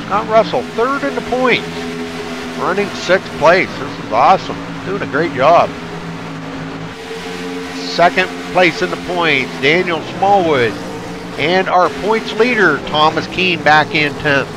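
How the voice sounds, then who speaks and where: A stuffed-up man calling a race live, pitched a little high and excitable in a folksy way. His voice comes through noisy broadcast audio with a clear hiss behind it. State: stuffed up from allergies. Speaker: race commentator. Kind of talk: live race play-by-play commentary.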